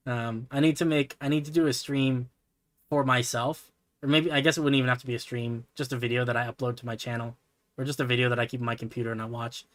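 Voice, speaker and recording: A man streaming from his computer, chatting casually in a soft, relaxing voice, rambling with lots of fillers and self-deprecating asides. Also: medium pitch, fairly even intonation, quick pace, no echo. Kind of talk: casual livestream chat while building.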